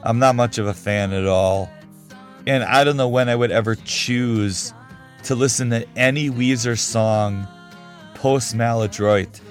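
A man's voice: mid-range voice, unhurried, soft background music. A man hosting a podcast, giving frank, conversational opinions in a casual solo talk while losing his voice. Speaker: podcast host.

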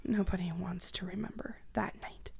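A woman acting a guarded, bitter young captive ex-girlfriend in a dark dramatic podcast reading, defensive and often hushed. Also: medium pitch, steady tone, normal speed, vocal fry, a little hiss or hum. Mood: evasive.

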